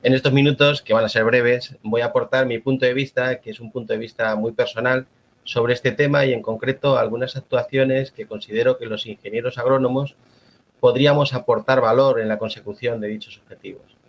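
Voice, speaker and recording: A man from La Mancha with a Spanish accent giving a formal, measured conference talk as an agronomist. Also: medium pitch, steady tone, lo-fi sound, a little hiss or hum. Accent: Spanish (Spain). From La Mancha, Spain. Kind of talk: prepared conference presentation.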